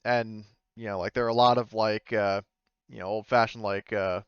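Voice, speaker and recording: A man acting as game master, describing scenes and rules casually in halting phrases. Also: on the low side, steady tone, smooth, no echo, lo-fi sound. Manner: hesitant, halting phrasing with frequent "like" and "you know". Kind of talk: improvised tabletop game narration with casual rules talk.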